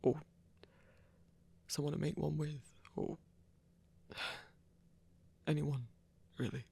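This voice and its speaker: A performer playing a lonely, self-doubting werewolf in an intimate audio roleplay, gentle and awkward, with a stammering, hesitant delivery. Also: mid-range voice, little pitch movement, takes it slowly, vocal fry, slightly rough, heavily acted. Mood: lonely, subdued.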